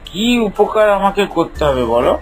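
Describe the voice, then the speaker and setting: A man's voice: high-pitched, big pitch swings, unhurried, soft background music. A man voicing a timid, reluctant village man in a cartoon, speaking hesitantly. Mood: curious.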